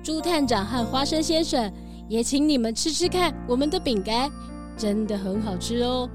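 A woman's voice: on the high side, expressive, normal speed, natural voice. A woman playing a bleating goat boss lady. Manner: bleats now and then, proud and friendly. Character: gentle female goat cookie factory owner. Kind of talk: children's radio drama. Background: background music.

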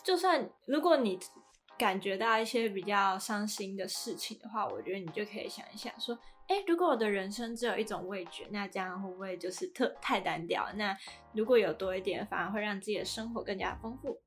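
A woman's voice: mid-range voice, lively intonation, brisk, faint music behind. A woman with a Taiwanese accent presenting a podcast in a conversational style as co-host.

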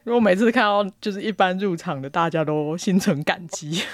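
A woman with a Taiwanese accent talks casually on a podcast. Her voice sits a little low, and she has a wry, understated way of joking. Music plays faintly behind her. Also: a little fast, no echo. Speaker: podcast speaker on self-publishing. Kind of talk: casual chat.

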